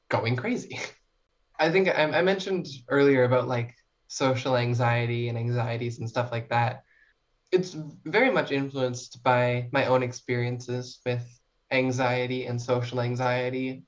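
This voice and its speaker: A woman's voice: a bass voice, dull audio. A young woman talking casually as a podcast guest, in a chatty, informal and self-reflective way. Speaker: podcast guest, short story writer. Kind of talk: casual interview chat.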